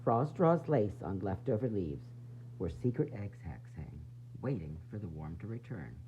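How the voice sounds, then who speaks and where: A man reading a children's picture book aloud in an expressive, dramatic, sing-song way, with varied emphasis. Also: lowish voice, normal speed. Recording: dull lo-fi sound, faint noise and hum.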